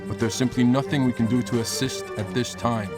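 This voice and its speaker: A man's voice: medium pitch, steady tone, a little fast, music in the background, natural voice. An actor playing a brusque but reasonable male army commander in a game cutscene, authoritative and dismissive. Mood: insistent. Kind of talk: scripted game cutscene dialogue.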